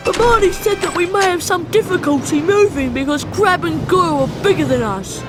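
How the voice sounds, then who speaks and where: Casting: excitable, doubtful schoolboy wizard, extremely high voice, game scene over music. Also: normal speed. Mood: urgent, cautious. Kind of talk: video game voice acting.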